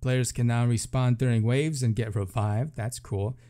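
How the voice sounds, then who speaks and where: A man's voice: mid-range voice, steady tone, normal speed, warm tone. A man reading patch notes aloud as a gaming video host, with offhand casual commentary and thinking aloud between items.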